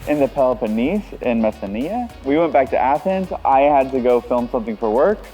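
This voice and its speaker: Man from the US, mellow voice, casual travel vlog talk, outdoors by a harbor, faint music behind. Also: mid-range voice, expressive, fairly quick, low-quality recording. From United States. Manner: easygoing, conversational, loose with fillers. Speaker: vlogger.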